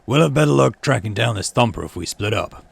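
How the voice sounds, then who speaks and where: A man's voice: mid-range voice, expressive, a little fast, creaky, slightly rough, heavily acted. A man voicing a confident, commanding male hunting team leader in a sci-fi game. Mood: hearty, commanding.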